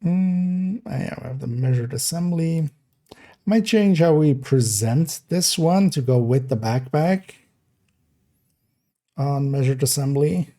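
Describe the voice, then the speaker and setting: Young dutch guy on a stream, gravelly low-key voice, just musing out loud as he clicks through stuff. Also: slow pace. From Netherlands. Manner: thinking aloud, relaxed and meandering. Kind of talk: casual live-stream commentary.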